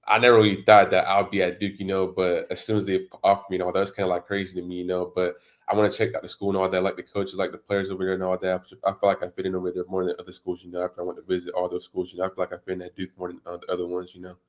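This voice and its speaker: A teenage boy, a high school football recruit born in Congo, answering interview questions in a casual, rambling way full of "you know" and "and all that". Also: completely flat delivery, rapid-fire. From Democratic Republic of the Congo. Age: teens.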